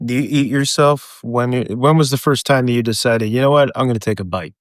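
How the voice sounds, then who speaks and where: A man's voice: mid-range voice, fairly quick, dry recording, studio quality. A man co-hosting an improvised comedy podcast, firing off blunt, absurd questions in an offhand way. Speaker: podcast co-host.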